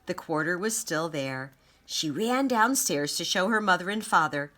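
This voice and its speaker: A woman reading a children's story aloud as an expressive narrator. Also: on the low side, normal speed. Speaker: storybook reader.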